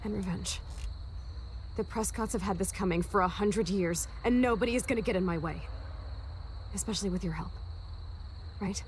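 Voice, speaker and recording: A woman's voice: medium pitch, normal speed, long pauses, a little mellow, a little hiss or hum, natural voice. An actress playing a defiant, vengeful young punk woman with a blunt, brash manner in a video game.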